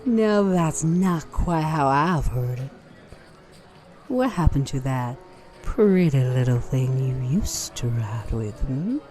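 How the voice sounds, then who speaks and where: A woman's voice: very deep voice, lively intonation, takes it slowly, music in the background, exaggerated voice. Languid, sugary Southern female bounty hunter acted by a woman. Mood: taunting. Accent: American Southern. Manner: sugary, mocking, languid drawl. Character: sly, venomous female rival bounty hunter in Old West. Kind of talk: Western audio roleplay acting.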